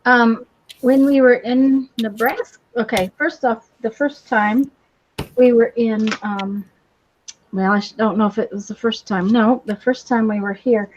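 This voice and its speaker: A woman's voice: fairly high pitch, steady tone, unhurried, a little hiss or hum. A woman chatting casually in a hesitant, rambling way. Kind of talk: casual chat. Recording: slightly dull, lo-fi sound.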